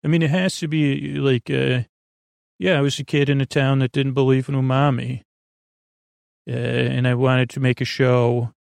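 A man hosting a podcast with a meandering bedtime monologue, halting and digressive, trailing off and restarting his sentences in a creaky voice. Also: medium pitch, little pitch movement, a little mellow, dry recording, studio quality. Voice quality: creaky, gravelly. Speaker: podcast host.

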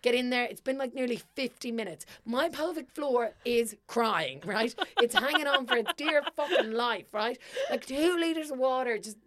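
A young woman co-hosting a podcast, chatting casually and telling stories, swearing freely, her voice sometimes a little gravelly. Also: a little high, expressive, normal speed, few pauses, fairly bright tone. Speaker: podcast co-host. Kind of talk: casual chat.

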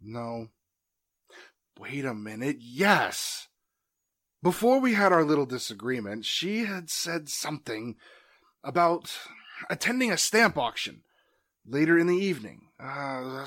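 A nervous young male artist voiced by a man under suspicion, slow and defensive, his voice rising and falling as he falters over answers in an audio drama. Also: medium pitch, very animated, fairly bright tone. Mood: hesitant. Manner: defensive, hesitant. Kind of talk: amateur audio drama acting.

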